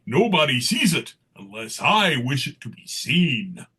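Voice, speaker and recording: Guy doing a jolly old christmas gift-giver, husky voice, grand genial talk, very animated. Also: mid-range voice, measured pace, full character voice. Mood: jovial. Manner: stately, genial.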